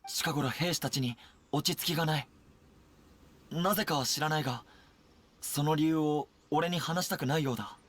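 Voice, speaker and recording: An actor playing a young army general in an anime game, earnest, concerned and commanding, with a husky voice. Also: male voice, a little high, fairly bright tone, lightly acted. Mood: troubled. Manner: brisk, commanding, serious. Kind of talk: Japanese anime game voice acting.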